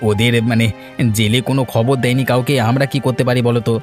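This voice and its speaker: A man's voice: mid-range voice, steady tone, quick pace, a little mellow, soft background music, lightly acted. A man playing a worried village fish seller.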